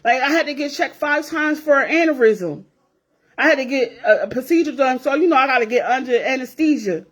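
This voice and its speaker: A woman's voice: high-pitched, lively intonation, brisk. A woman in her forties talking straight to her viewers in a blunt, profane rant, repeating herself for emphasis. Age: 40s. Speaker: vlogger. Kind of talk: casual rant to camera.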